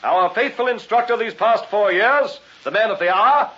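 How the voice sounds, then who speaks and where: An actor playing a breezy, gossipy young student with jaunty old-chap speech in a vintage radio drama. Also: male voice, high-pitched, animated, normal speed, pushed and bright, husky, exaggerated voice. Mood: playful, grand. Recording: dull vintage radio recording, music behind.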